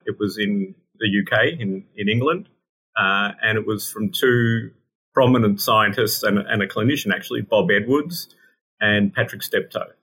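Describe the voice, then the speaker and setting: Australian guy, middle-aged, bright edgy voice, kinda lowish, stumbles and repeats himself a lot. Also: moderate pace, husky, low-quality recording. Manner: hesitant, repeats words while thinking. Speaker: guest scientist. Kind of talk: casual interview answers.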